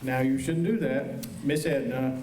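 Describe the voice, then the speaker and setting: A man's voice: mid-range voice, a little slow, background noise, natural voice. An American man playing an earnest, flustered frontier deputy in a Western radio play, folksy, hesitant and polite as he stammers along. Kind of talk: stage performance of old-time Western radio drama. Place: high school auditorium stage. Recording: echoey auditorium, distant mic, music behind.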